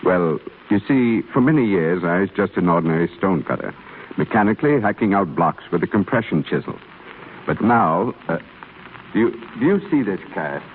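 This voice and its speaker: An actor playing a kindly, earnest older stonecutter in a measured, preaching 1930s radio drama style. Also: male voice, sits low, expressive. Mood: earnest, fatherly. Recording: muffled scratchy 1930s radio broadcast.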